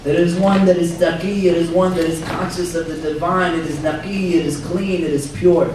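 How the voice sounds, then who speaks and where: Hoarse imam in an echoey hall. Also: male voice, fairly high pitch, steady tone, darkish tone. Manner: measured, reflective, quotes Arabic scripture. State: hoarse, losing his voice. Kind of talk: religious talk at a dinner. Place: banquet hall. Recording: roomy far-off hall recording with faint noise.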